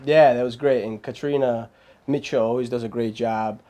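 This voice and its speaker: Male guest, mellow low-bright voice, animated and rambling, lots of restarts. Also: normal speed. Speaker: filmmaker guest. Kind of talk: casual interview chat.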